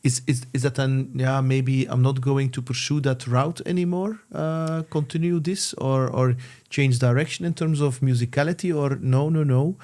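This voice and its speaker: Halting mellow-voiced man hosting an interview. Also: mid-range voice, fairly even intonation. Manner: halting, many restarts and fillers. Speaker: podcast host. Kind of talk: casual interview.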